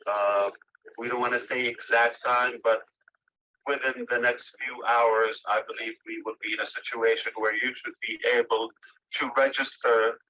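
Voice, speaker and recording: Halting man on a dull phone line. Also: mid-range voice, level tone, pushed and bright. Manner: halting, many filler pauses. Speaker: company leader giving an update. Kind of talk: phone call update to members.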